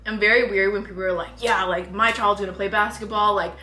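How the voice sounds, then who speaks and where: A woman's voice: mid-range voice, fairly even intonation, fast talker, pushed and bright, a little hiss or hum. A young woman from North America in a casual, chatty vlog conversation with her partner, speaking informally with lots of "like". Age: young adult. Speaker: parenting vlogger. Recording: roomy echo, faint music behind.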